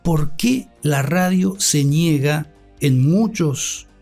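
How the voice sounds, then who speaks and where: An older man with an Argentine accent giving a reflective interview, articulate, with thoughtful halting pauses. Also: fairly high pitch, animated, gravelly, darkish tone, soft background music. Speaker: interview guest, radio academic.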